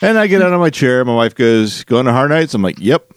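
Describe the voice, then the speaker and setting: A man co-hosting a podcast, telling a long story in a rambling, animated way with restarts and a slightly nasal voice. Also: medium pitch, fast talker, clean tone, no echo, clean studio sound. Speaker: podcast co-host. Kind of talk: casual storytelling chat.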